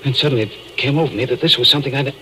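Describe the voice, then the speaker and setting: An actor playing a haunted, nervous deep-sea diver who narrates his ordeal haltingly in 1940s radio drama style. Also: pushed and bright, slight background noise, lightly acted, low-quality recording. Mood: grim recollection. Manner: halting, hesitant, brooding. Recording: old 1940s radio recording with music.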